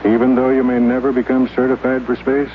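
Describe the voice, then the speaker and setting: An actor playing a middle-aged station chief who narrates, speaking in a dry, measured, gruffly kind way in 1950s radio drama style. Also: male voice, medium pitch, completely flat delivery, a little hiss or hum, lightly acted. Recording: tinny old radio broadcast.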